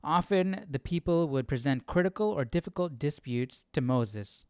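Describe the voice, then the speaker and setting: A man's voice: on the high side, animated, normal speed, a little mellow, clean tone, no echo, studio quality. A man hosting a Bible study livestream, reading aloud and commenting casually, often questioning and checking for agreement.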